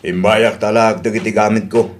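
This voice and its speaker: A man's voice: on the low side, fairly even intonation, normal speed, lightly acted. A man acting in an Ilocano radio drama as a stubborn, indignant husband, with an Ilocano accent.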